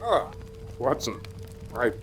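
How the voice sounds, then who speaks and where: Radio drama house master: relaxed yet brisk, curious, very varied intonation. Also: male voice, mid-range voice, noisy background. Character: easygoing master of a country house. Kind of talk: classic radio drama acting.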